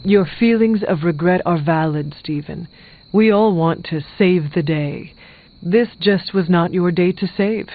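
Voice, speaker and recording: An actor playing a steadfast, stern warrior hero in a video game, speaking in a plain, formal, resolute way. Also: male voice, sits high, measured pace, phone-quality sound. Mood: reassuring. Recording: band-limited game audio with background music.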